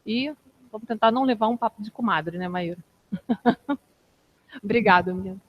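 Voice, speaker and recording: A woman coordinator opening an event and introducing her guest in a relaxed, informal way. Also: medium pitch, moderate pace, muffled recording. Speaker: course coordinator and event host. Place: event room with an audience.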